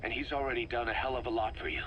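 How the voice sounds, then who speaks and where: A man's voice: mid-range voice, fairly even intonation, rapid-fire, fairly bright tone, close to an everyday voice, poor audio. A man playing a bitter, suspicious soldier in a video game, confronting someone with accusations. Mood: bitter, accusing. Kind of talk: dramatic video game voice acting. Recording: faint noise, recorded tape audio.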